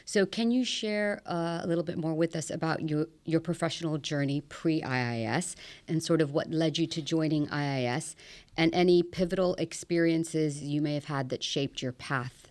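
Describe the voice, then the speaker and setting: A woman's voice: low voice, normal speed, darkish tone. A woman hosting an interview, asking polite, inquisitive questions. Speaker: interviewer, host.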